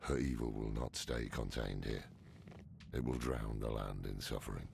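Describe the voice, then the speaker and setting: Casting: grizzled old scholar, rock-bottom pitch, heavy rasping fry, dry, weary, dark fantasy game. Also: male voice, normal speed, slight background noise, heavily acted. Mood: regretful. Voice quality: very gravelly. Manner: wry, grave, weary.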